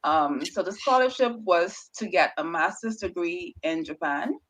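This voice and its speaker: A woman's voice: fairly low pitch, expressive, unhurried, fairly bright tone, poor audio. A woman researcher, a guest on an interview show, telling her story casually with a stutter, repeated words and frequent laughs. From Bahamas.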